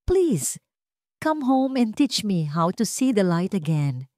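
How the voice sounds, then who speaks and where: A woman's voice: medium pitch, sing-song, slow pace, dark tone, no room sound, clean studio sound, voice pushed up. A woman voicing a remorseful wealthy man in a romance story, pleading and confessing dramatically. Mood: desperate pleading.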